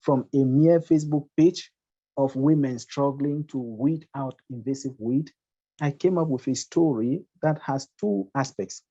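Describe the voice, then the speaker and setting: A man's voice: medium pitch, a little slow, warm tone. A man from Cameroon, a journalist, explaining his reporting fluently on a webinar over a video call. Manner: fluent, explanatory, repeats phrases. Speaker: award-winning journalist. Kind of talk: webinar panel answer. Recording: dull lo-fi call audio.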